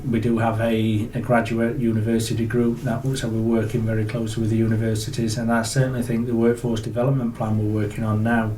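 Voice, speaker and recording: A man's voice: on the low side, recorded from a distance, a little hiss or hum. Yorkshire man in an echoey room. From Yorkshire, England. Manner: measured, steady, with frequent ums. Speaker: guest, industry alliance CEO. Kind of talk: interview answers. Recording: echoey room, heard from afar.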